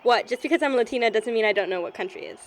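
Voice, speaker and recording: A woman's voice: on the high side, rapid-fire, close to an everyday voice. A woman acting a feisty, guarded young partygoer in an amateur audio drama, with noise in the background. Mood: challenging.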